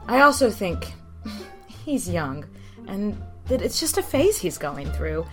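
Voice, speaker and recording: A woman's voice: mid-range voice, big pitch swings, slow, a bit bright, soft background music. A woman playing a gracious, genteel mayor's wife giving a diplomatic interview in an audio drama. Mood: measured, concerned. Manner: poised, theatrical, genteel. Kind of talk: acted talk show interview in audio drama.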